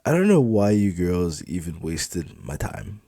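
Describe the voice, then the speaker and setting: A man's voice: low voice, sing-song, a little slow, mellow, dry recording, clean studio sound, full character voice. A man voicing a smug, crude club supervisor in an audiobook, dismissive and impatient with a sneering manner. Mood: exasperated, impatient. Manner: sneering, exasperated, mouthy. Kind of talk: dramatised audiobook dialogue.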